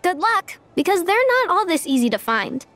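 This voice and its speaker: A woman playing a kind, sensible, gently teasing teenage girl in an animated video game. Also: extremely high pitch, moderate pace, no room sound, faint music behind, heavily acted. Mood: upbeat.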